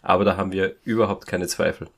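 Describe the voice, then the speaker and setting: A man's voice: sits low, steady tone, quick pace, fairly bright tone, a little hiss or hum. A man co-hosting a casual podcast chat. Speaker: podcast co-host.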